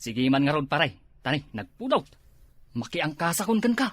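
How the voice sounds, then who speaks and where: A man playing a lazy, girl-crazy village husband in an Ilocano comedy drama, with a husky voice and an Ilocano accent. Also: a little high, very animated, normal speed, no echo. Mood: excited, leering. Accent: Ilocano, northern Philippines.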